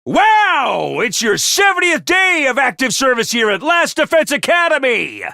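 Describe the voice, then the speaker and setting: An actor voicing a chipper, unhinged male academy announcer who gives bubbly announcements and bursts into gleeful shouting. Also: extremely high pitch, no echo, clean studio sound, exaggerated voice. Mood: manic. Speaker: game character announcer. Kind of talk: video game voice lines.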